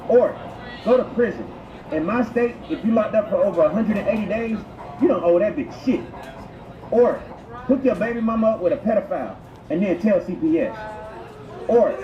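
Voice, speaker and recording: High-pitched man, echoey crude mock tutorial. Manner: profane, slangy, instructional. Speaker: prank tutorial narrator. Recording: echoey, muffled, noisy intercom playback. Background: public transit ambience.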